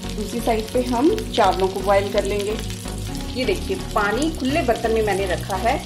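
Female cooking host, mid-pitched, methodical recipe voice-over in a roomy room with clear music behind. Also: moderate pace. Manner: steady step-by-step instructions, addresses viewers as friends. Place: home kitchen. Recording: roomy kitchen sound with music.

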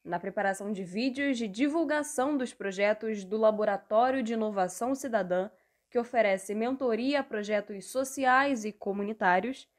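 A young woman delivers a scripted presentation with a slightly high voice. She reads in a measured, deliberate way, like a student reporter. The sound is picked up close by a small wired earphone mic. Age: young adult.

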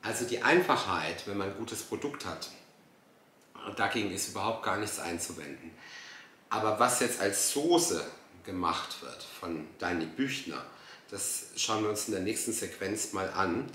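A man's voice: fairly low pitch, expressive, moderate pace, bright, forceful tone, roomy sound, a little hiss or hum. A man in his thirties giving casual, exasperated and sarcastic reaction commentary. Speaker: host reacting to a TV dinner show.

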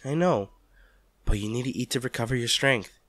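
A male actor plays a devoted, protective partner in an intimate audio roleplay. He has a medium-pitched voice with a tender, reassuring, pleading way of talking to an injured loved one. The sound is dry, with no room around it. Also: moderate pace, fairly bright tone, dry recording, close to an everyday voice. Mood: gentle, coaxing.

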